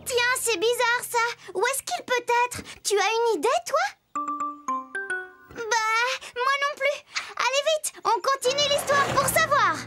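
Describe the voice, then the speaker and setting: A woman's voice: very high-pitched, a little slow, exaggerated voice. A woman voicing a spirited young girl who tells stories in a French children's cartoon, chatty and playful. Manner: animated, chatty, addressing the listener directly. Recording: children's music behind the voice.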